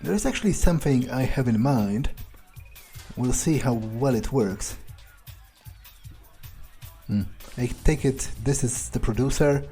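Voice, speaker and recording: A man narrating a video game in character, thinking aloud in an understated, musing way with frequent pauses. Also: mid-range voice, expressive, mellow, faint music behind. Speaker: gamer playing in character.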